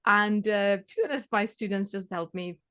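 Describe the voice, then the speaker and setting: A woman's voice: medium pitch, moderate pace, a bit bright, clear, resonant tone. A woman in her thirties from the Czech Republic, whose first language is Czech, talking casually about her tutoring work with many fillers and restarts. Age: 30s. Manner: chatty, many fillers and restarts, laughs often. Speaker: maths tutor. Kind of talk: casual interview chat.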